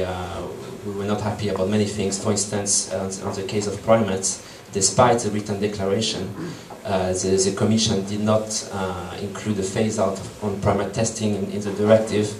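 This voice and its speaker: A man's voice: lowish voice, level tone, moderate pace, hardly any pauses, darkish tone, slight background noise. A man in his thirties with a French accent, giving a hesitant public talk to an audience in a meeting room. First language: French and Portuguese. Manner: hesitant, many ums and restarts. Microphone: far room camera. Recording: echoey room from far off.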